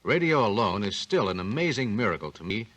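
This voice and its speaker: A lower-pitched man hosts an old 1940s radio talk show, asking the guest folksy questions in a lively, swooping voice. The recording has the sound of a vintage broadcast. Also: a little fast. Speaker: radio host and announcer.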